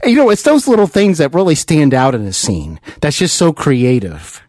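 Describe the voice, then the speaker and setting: A man's voice: on the high side, very animated, normal speed, darkish tone, no echo. An American man hosting a conversational interview in an earnest, admiring manner, close to the microphone. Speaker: interview host. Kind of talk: conversational radio-style interview.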